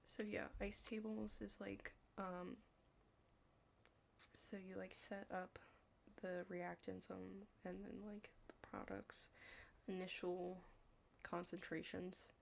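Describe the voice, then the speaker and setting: A young adult woman doing an ASMR roleplay as a study partner, chatting casually in a hushed, halting, rambling way with lots of "like" and some whispering. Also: fairly low pitch, gravelly, slight background noise. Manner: rambling, halting, heavy use of "like".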